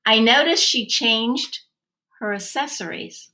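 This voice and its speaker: A woman reading a children's story aloud expressively, with deliberate, dramatic emphasis. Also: a little high, bright, forceful tone. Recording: roomy, echoey sound.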